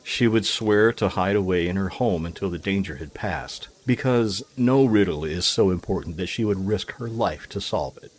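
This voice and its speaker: A man's voice: deep voice, normal speed, hardly any pauses, poor audio. A man reading scripted fiction narration as a storyteller, in formal, literary phrasing.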